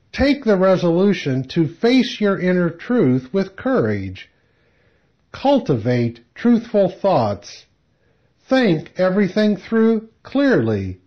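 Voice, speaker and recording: A man reading a spiritual lecture aloud in a measured, solemn, deliberate manner, on a dull lo-fi recording. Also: sits high. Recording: dull, lo-fi audio.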